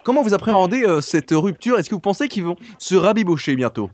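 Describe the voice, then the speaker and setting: Man playing a high-pitched live news anchor. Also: big pitch swings, quick pace, a little mellow. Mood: mock serious. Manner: insistent, repetitive, stumbling over words. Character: earnest male TV news anchor on live breaking news. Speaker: prank caller. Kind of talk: mock live news reporting.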